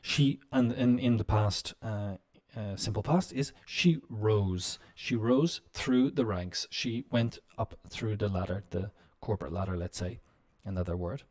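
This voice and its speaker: A man teaching business English on a live stream, explaining slowly with many "um"s and "uh"s. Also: on the low side, darkish tone. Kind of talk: live-stream language lesson.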